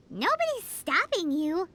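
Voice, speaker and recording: A woman's voice: extremely high pitch, pushed and bright, a little hiss or hum, exaggerated voice. A woman voicing a tiny, chirpy game companion creature with a blunt, cheeky way of talking.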